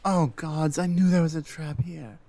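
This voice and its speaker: A woman playing an earnest, loyal warrior-healer companion in a fantasy ASMR roleplay, with a hesitant, halting delivery. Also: sits low, fairly even intonation, warm tone, a little hiss or hum. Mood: anxious. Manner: hesitant, halting, with stammered restarts. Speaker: audio roleplay performer.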